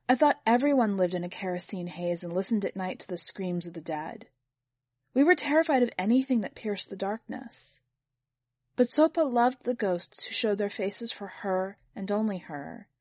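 A woman's voice: medium pitch, a little mellow, no room sound. A woman narrating a first-person literary short story from a script. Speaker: podcast editor and story reader.

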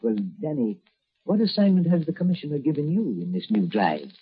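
A raspy, calm old doctor mentor. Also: male voice, on the high side, brisk, heavily acted. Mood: thoughtful. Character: kindly older male scientist and mentor, calm. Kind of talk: 1940s radio acting. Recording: thin tinny old radio transcription.

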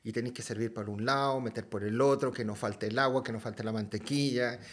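A man from Chile with a Chilean accent, a podcast guest telling a story in a casual, lively way, full of slang and swearing. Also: medium pitch, fairly quick.

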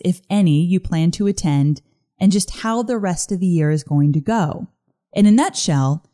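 A woman hosting a casual solo podcast, chatty and upbeat, her voice a little gruff this morning. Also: medium pitch, warm tone. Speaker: podcast host.

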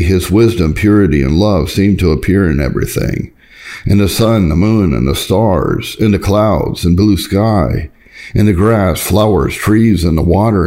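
Male audiobook reader, deep pitch, soft dark tone, measured, earnest, formal devotional reading. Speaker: audiobook narrator. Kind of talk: scripted devotional reading of an old text.

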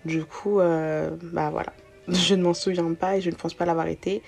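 A young woman in her early twenties speaks casually as a solo podcast host. Her voice is soft-edged and mellow, sitting a little low for a woman, with music present. She is a non-native French speaker with an informal, slangy way of talking. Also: steady tone, moderate pace, creaky. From born outside France, moved to France as a young child. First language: not French. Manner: informal, slangy, sprinkles in English words. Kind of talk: casual solo podcast, answering self-introduction questions.

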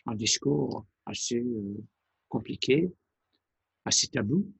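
Male presenter, measured and hesitant, husky low-energy delivery with a dry sound. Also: expressive, dry recording. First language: Persian. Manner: deliberate, halting phrasing with pauses. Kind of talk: explanatory monologue.

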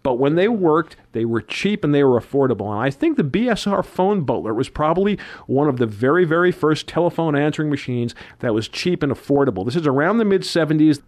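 A man in his fifties with a slightly high voice holds forth on a radio talk show. He reminisces at length and rattles off dates, prices and figures like a lecturer. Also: very animated, fairly quick, few pauses, darkish tone. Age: 50s.

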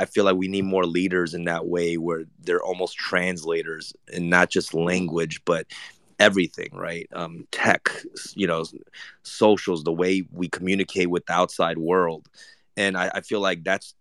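A man speaking casually on a panel, halting and full of fillers as he talks things through. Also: deep voice, fairly bright tone, dry recording, studio quality. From Argentina. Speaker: panelist, rapper. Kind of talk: panel discussion.

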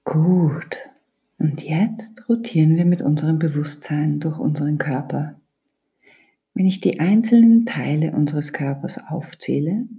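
Woman doing guided meditation, really slow and calm, soft half whispery voice, mellow tone. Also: low-pitched. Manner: calm, soothing, deliberate instruction with pauses. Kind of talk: guided yoga nidra meditation.

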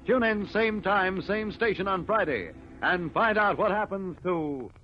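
A man's voice: high-pitched, animated, measured pace. A man narrating a 1950s radio adventure serial in a dramatic, declamatory way. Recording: dull old radio recording with loud music.

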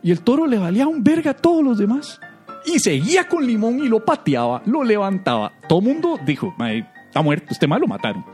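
A man's voice: sits high, brisk, soft background music. A Costa Rican man hosting a comedy podcast, telling stories casually with slang, swearing and playful exaggeration. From Costa Rica. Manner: animated, exaggerating, acts out reactions. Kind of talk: casual comic storytelling and banter.

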